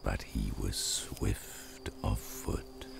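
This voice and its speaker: Super deep slow gravelly lion king, calm and commanding, radio play, music underneath. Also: heavily acted. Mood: solemn, gentle. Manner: grave, measured, gentle authority. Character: wise majestic male lion, calm and commanding. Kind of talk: radio drama acting. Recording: score playing under the voice.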